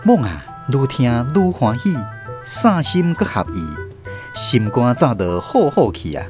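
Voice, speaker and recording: Radio drama narrator, man, Taiwanese accent, expressive folksy delivery with wide pitch swings over instrumental music. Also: a little slow.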